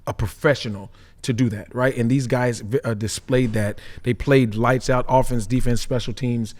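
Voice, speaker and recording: Man, ex-player analyst, average pitch, energetic, repetitive, casual podcast talk. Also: moderate pace. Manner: energetic, repeats himself, peppers lines with "right?". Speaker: former pro football player turned analyst. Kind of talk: casual sports podcast chat.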